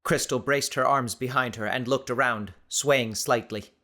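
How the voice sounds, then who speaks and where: A man narrating an audiobook story in a dramatic, measured and expressive reading style. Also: medium pitch.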